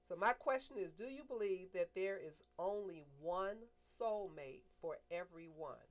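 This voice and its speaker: Middle-aged woman, low-pitched and firm, co-hosts a casual studio chat. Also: lively intonation. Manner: firm, measured, opinionated. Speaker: talk show co-host. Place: small studio room with a table, indoors. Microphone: desk mic on a stand.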